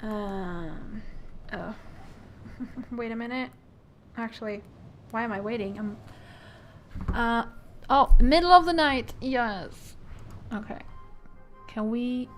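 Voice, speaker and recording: A woman's voice: on the high side, slow, faint music behind. A woman streaming a game, chatting casually, giggling and reading the game's text aloud with asides. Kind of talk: casual live-stream chat while playing a game.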